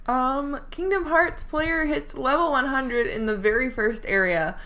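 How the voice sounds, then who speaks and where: A woman's voice: high-pitched, unhurried, sharp tone. A woman co-hosting a casual gaming podcast, hesitant and giggly, often stumbling and trailing off as she talks. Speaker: podcast co-host. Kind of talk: casual chat.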